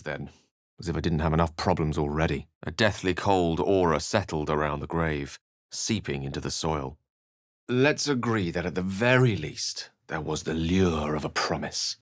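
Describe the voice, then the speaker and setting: A man's voice: low voice, no echo, clean studio sound. A man voicing an eloquent, bookish, theatrical wizard in a video game, speaking with refined and wry wording. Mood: narrating, thoughtful. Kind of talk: video game character dialogue and narration.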